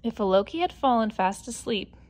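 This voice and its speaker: A woman's voice: a little high, lively intonation, fairly quick, darkish tone. A woman reading a children's picture book aloud as a scripted narration. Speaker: storybook reader.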